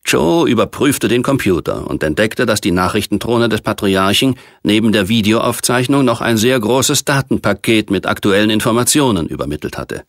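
A man narrating a science fiction novel as an audiobook, reading in a measured, precise literary manner. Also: on the low side, animated, no room sound, studio quality.

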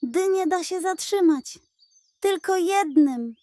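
Woman as small male rabbit, storybook character, high-pitched, brave, wise, take-charge manner. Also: lively intonation, slow, warm tone, no room sound, studio quality, exaggerated voice. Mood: urgent, commanding.

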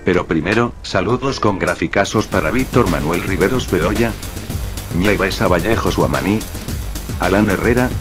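A man in his thirties, a gaming YouTuber, calmly explaining things in voice-over narration. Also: low voice, moderate pace. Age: 30s. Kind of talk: voice-over narration over gameplay footage. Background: background music.

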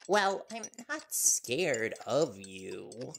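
A man's voice: medium pitch, very animated, very slow, creaky, background noise, full character voice. A man playing an earnest, nervous young reporter boyfriend in an intimate audio roleplay, hesitant and deferential. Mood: sheepish, admiring.

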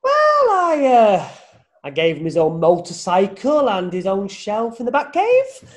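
A man's voice: high voice, wildly swooping pitch, unhurried, darkish tone, heavily acted. A British man playing an exasperated, defensive masked superhero in a comic radio play, protesting melodramatically.

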